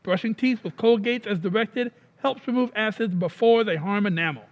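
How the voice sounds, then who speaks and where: A male commercial announcer with a very high voice reads in 1940s radio style. His delivery is formal and emphatic. The sound is dull, with a long reverb. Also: moderate pace. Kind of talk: 1940s radio advertisement reading.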